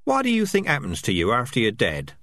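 A man's voice: huge pitch swings, fairly quick, a bit bright, no echo. A man voicing a squad of small, nervous, bickering male rats in an audiobook reading. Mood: fretful. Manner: bickering, nervous, high-pitched chatter. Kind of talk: audiobook character voices.

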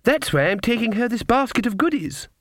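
Man voicing a high-pitched, stubborn little girl. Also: big pitch swings, a little fast, a bit bright, no echo, studio quality, exaggerated voice. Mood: innocent, curious. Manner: childlike, challenging, insistent. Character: innocent, cheeky, stubbornly doubtful little girl. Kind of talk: audiobook character voice.

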